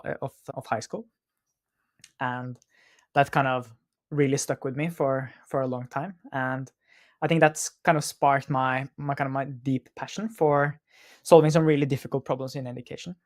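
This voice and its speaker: A man's voice: medium pitch, no room sound, clean studio sound. Hesitant Norwegian-accented man. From Norway. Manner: hesitant, many "uh" and "kind of". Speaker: co-founder guest. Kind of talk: conversational interview answers.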